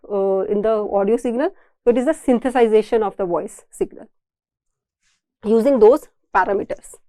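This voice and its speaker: Indian lady teaching engineering, kinda high mellow voice, explains and repeats stuff, very dry sound. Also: no echo. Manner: didactic, repeats and rephrases points. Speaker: engineering lecturer. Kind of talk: explanatory technical lecture to camera.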